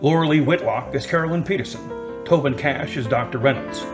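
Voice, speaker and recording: A man's voice: mid-range voice, fairly quick. A man reading scripted narration for a radio drama as its narrator. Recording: music under the narration. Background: music.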